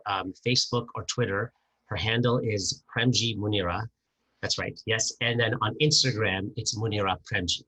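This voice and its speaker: A man's voice: fairly low pitch, sharp tone, rough recording. Eager man hosting a video call launch. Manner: enthusiastic, halting with many fillers and restarts. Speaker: moderator of a virtual book launch. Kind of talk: casual event hosting.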